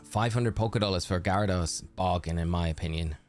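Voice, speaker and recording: A man's voice: low-pitched, fast, darkish tone, soft background music. A man chatting casually on a live stream, greeting viewers by name and calling them mate. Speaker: streamer reading live chat. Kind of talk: casual live-stream chat while shiny hunting.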